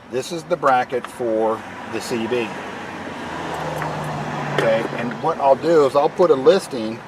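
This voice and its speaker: A man's voice: mid-range voice, background noise. A man presenting a DIY video, talking casually and in a folksy way through each step as he works in or beside a vehicle. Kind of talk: casual hands-on tutorial narration while working.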